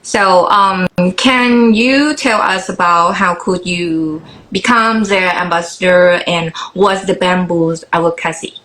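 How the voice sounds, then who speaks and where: A woman's voice: on the low side, slow pace, hardly any pauses, a bit bright, low-quality recording. A woman asking questions casually as an interview co-host.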